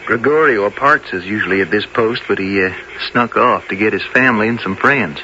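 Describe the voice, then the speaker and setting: An actor playing an earnest, loyal army captain in a radio drama, a little hesitant and deferential. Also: male voice, lowish voice, brisk, bright, forceful tone. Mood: watchful, earnest. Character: earnest, loyal artillery captain on night watch. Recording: muffled late-1970s radio with clear hiss.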